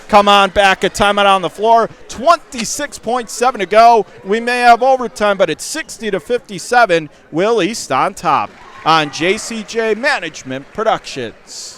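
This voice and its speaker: A man calling live basketball play-by-play for radio in clipped, urgent phrases that rise into excited calls. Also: high-pitched. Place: indoor high school basketball gym. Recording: game crowd and gym noise behind.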